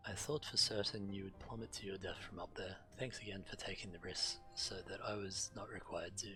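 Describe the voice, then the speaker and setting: A man with a low voice reads game quest text aloud and drops in casual commentary as he plays. Clear game music runs behind him. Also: fairly even intonation, brisk. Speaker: game streamer. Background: video game music.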